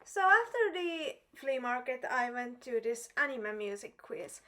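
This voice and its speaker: A woman's voice: sits high, big pitch swings, a little slow, bright, forceful tone. A young woman narrating her convention vlog as a voice-over, bubbly and piling on "really" for emphasis. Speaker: vlogger.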